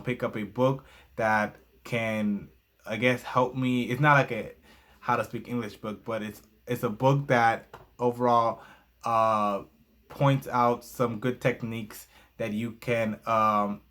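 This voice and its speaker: A young man with a fairly low voice speaks in English, his second language after Spanish. He reviews a book casually for his channel, stumbling into fillers and restarts as he reads from notes. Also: fairly bright tone.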